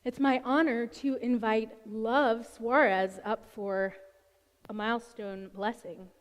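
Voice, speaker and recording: A woman of the clergy leads a blessing in a measured, prayerful cadence, her voice pitched a little high. The words ring out into a large sanctuary with a long, lingering reverb. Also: fairly even intonation, a little hiss or hum. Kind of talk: liturgical blessing.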